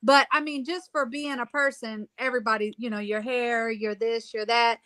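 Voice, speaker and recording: A woman from Ohio, a stage actress, talking in a sassy, quick, emphatic way on a podcast. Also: a little high, fairly even intonation, fairly bright tone, no echo. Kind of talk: casual podcast chat.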